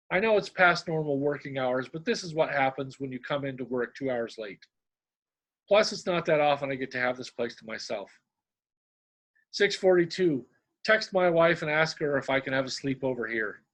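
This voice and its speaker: Deadpan middle-aged man, humor columnist. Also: fairly high pitch. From Indiana. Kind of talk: reading a humorous diary-style column, then casual talk.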